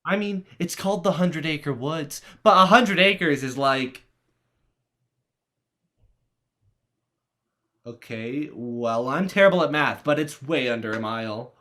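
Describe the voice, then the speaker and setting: An actor playing a hesitant, sarcastic teenage boy in a stage script reading, halting and sardonic. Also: mid-range voice, fairly bright tone, natural voice.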